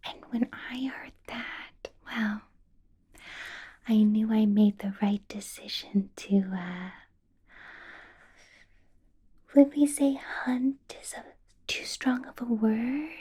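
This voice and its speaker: A seductive female vampire boss, played by a woman for an ASMR roleplay, speaks in a very breathy, husky whisper. She is possessive and politely menacing, drawing her words out extremely slowly with coaxing pauses. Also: on the high side, mellow, heavily acted. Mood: coy, hungry. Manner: slow, deliberate, coaxing, with lingering pauses. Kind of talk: ASMR roleplay, personal attention.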